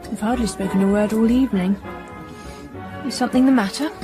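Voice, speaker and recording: An actress playing a frank, curious young English hairdresser in a radio drama, with an English accent and a matter-of-fact manner. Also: female voice, a little high, fairly quick, music in the background, natural voice. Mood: concerned, frank.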